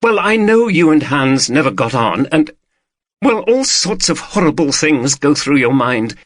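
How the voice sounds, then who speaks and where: A man's voice: on the high side, big pitch swings, a little slow, no room sound, studio quality, voice pushed up. A man voicing a scared, tearful woman. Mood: anxious. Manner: anxious, pleading, rising to shouts. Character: frightened, tearful woman confronting her partner.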